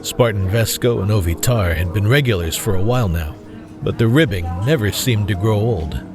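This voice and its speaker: A man's voice: lowish voice, normal speed. A man narrating a scripted sci-fi story as its narrator. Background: music.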